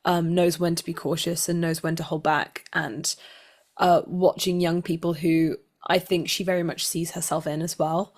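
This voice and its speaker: A woman's voice: lowish voice, little pitch movement, moderate pace, darkish tone. A woman talking casually and reflectively as an actor being interviewed.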